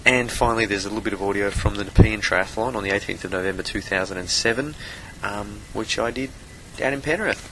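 A man's voice: fairly low pitch, a little fast, noisy background. A man casually introducing a podcast in an informal, conversational way. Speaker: podcast host.

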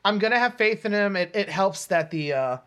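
A man co-hosting a podcast, chatting casually about comics and films in a rambling, halting way with false starts. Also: high voice, lively intonation, fairly bright tone, clear, resonant tone. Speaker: podcast co-host. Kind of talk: casual comics and film chat.

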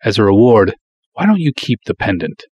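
Male reader as small foreign gentleman scholar, unctuous, sly, courtly, pulp adventure storybook. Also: fairly low pitch, very animated, normal speed, highs cut off. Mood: sly, pleased. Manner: formal, courtly.

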